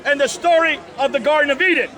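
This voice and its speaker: Man, street preacher, very high voice, loud, preachy, crowd chatter around. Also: fast. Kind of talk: heated outdoor debate. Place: outdoors in a busy city street. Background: crowd noise.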